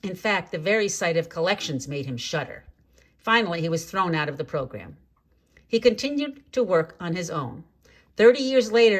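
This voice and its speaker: A woman reading a children's story aloud in an expressive, measured way, drawing out key words for drama. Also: on the low side. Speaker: storybook reader.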